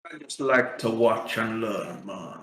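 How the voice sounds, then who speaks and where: A man playing a tabletop roleplaying game, speaking in character in a laid-back, unhurried way, on a roomy mic with faint noise. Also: on the low side, a bit bright. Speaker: player.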